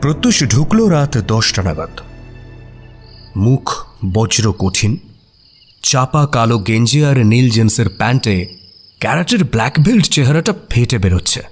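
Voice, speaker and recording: A man acting a detective's puzzled, admiring friend who narrates a mystery audio story in an expressive, suspenseful way. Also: mid-range voice, a little slow, long pauses. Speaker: narrator and sidekick character. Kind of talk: dramatized first-person audio story narration. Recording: music behind the voice. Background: music.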